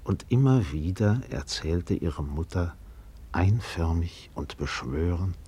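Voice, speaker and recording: A man's voice: low voice, animated, slow pace, warm tone, a little hiss or hum. A man reading literary scripted narration as the narrator of a radio play.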